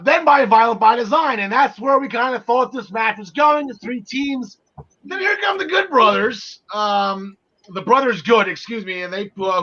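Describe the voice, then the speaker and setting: High-pitched, bright-voiced male podcast host. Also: highs cut off. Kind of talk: wrestling news recap and panel chat.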